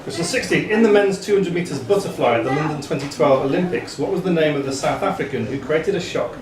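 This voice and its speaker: A man's voice: on the high side, fast. Male quizmaster in an echoey, busy pub. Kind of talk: reading quiz questions aloud to contestants. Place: pub quiz room. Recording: echoey pub room, distant mic, crowd noise.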